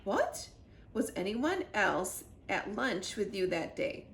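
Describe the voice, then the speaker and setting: Woman reading as earnest, animated boy spy. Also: mid-range voice, slow, vocal fry, roomy sound, exaggerated voice. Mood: excited, demanding. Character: Mac, earnest, exasperated young boy spy. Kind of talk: children's storybook read-aloud.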